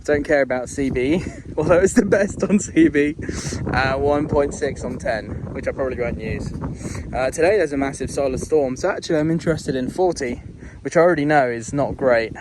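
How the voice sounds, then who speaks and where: Loud wind noise, man, medium pitch, casual hands-on demo, informal, slightly hesitant, outdoor recording. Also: normal speed. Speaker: amateur radio operator, video presenter. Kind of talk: casual hands-on gear demonstration and chat. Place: outdoors in open countryside. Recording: windy, noisy outdoor microphone.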